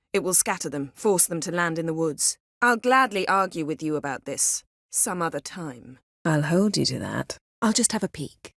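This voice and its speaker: An actress voicing a proud, sharp-tongued sorceress in a fantasy video game, with a crisp, imperious, dryly sardonic delivery. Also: female voice, on the low side, no echo, studio quality, close to an everyday voice. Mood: brisk, insistent.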